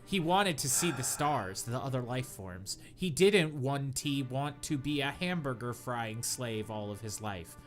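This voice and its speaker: A man's voice: medium pitch, lively intonation, measured pace, hardly any pauses, fairly bright tone, soft background music. A young man hosting a comedy podcast, reading a bad story aloud with mock earnestness and sarcastic asides. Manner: reads with mock earnestness, breaks into laughter.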